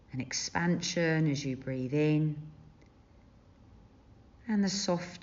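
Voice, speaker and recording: Slow, low-voiced woman guiding meditation. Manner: gentle, soothing, unhurried with long pauses. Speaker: yoga and meditation teacher. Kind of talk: guided body scan meditation. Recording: dull, muffled lo-fi sound.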